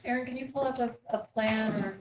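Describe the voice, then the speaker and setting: A woman chairing a town commission meeting, brisk and businesslike as she moves through the agenda. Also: a little high, fairly even intonation, crowd noise. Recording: echoey meeting room with background noise.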